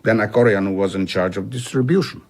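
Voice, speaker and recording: A reflective middle-aged traveller in a radio drama. His voice is low and husky, and he tells his past with measured regret. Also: a little mellow. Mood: rueful, reflective. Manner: measured, rueful storytelling. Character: reflective older traveller recounting his rebellious past, rueful.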